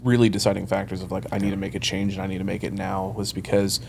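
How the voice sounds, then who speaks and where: A man's voice: low-pitched, monotone, quick pace, creaky, darkish tone, slight background noise. A man in his early thirties, a loan officer, telling his career story in a casual interview, acting out quoted conversations. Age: 30s. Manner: rambling storyteller, acts out quoted dialogue, laughs often. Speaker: guest, mortgage loan officer.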